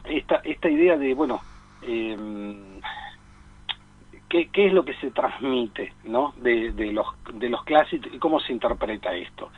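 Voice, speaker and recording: A man in a reflective radio discussion, speaking haltingly, repeating himself and often adding tag questions. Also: mid-range voice, animated, breathy edge, narrow, tinny audio. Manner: halting, repetitive, peppered with rhetorical tag questions. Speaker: radio talk co-host discussing psychoanalysis.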